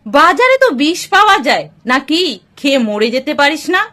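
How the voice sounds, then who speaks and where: A woman acts out a harsh, scornful father in an audio story. The delivery is high, sharp and very animated, with gruff, contemptuous scolding. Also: normal speed, exaggerated voice. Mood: bitter contempt. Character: harsh, bitter, scornful father of an unwanted daughter.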